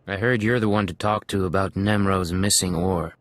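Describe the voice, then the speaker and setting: A man's voice: sits low, fairly even intonation, moderate pace, no echo, close to an everyday voice. A man playing a cool, businesslike hired operative in a video game, controlled and matter-of-fact.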